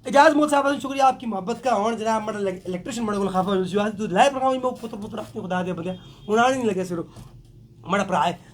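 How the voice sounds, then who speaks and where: A man hosts a live stream, his voice hoarse from a sore throat. He talks very fast, greeting viewers by name and joking along. His voice sits very high for a man. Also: slight background noise. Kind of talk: casual live chat reading viewer comments.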